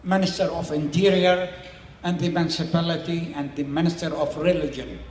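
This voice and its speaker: A man's voice: on the high side, fairly even intonation, fairly quick, a little mellow, echoey room, in a large hall, a little hiss or hum. An older man whose first language is Syriac, speaking plain non-native English in short phrases as an interviewee and community elder. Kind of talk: explaining to a small audience.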